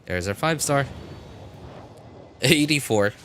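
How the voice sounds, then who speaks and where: A man chatting casually as he comments on gameplay on a live stream, rambling and often correcting himself in a halting way. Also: medium pitch, lively intonation, fairly bright tone, a little hiss or hum. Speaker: game livestreamer.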